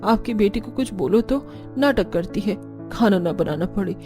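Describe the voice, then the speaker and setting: A woman's voice: mid-range voice, lively intonation, normal speed, natural voice. A woman playing an aging, weary, complaining mother-in-law in a cartoon. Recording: background music.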